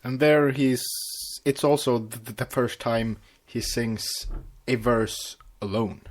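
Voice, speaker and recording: A man with a Swedish accent chats as co-host of a fan podcast. His pitch is moderate. He speaks hesitantly and tends to repeat a word before finishing the thought. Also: steady tone, takes it slowly. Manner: hesitant, often repeats words. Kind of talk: casual fan podcast chat.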